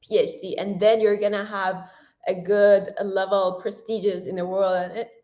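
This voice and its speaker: A woman's voice: mid-range voice, flat delivery, warm tone, dull audio. A young woman whose first language is Persian, a university student talking frankly as a guest in a casual interview. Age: young adult. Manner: chatty and frank, with frequent "like" and quick self-corrections.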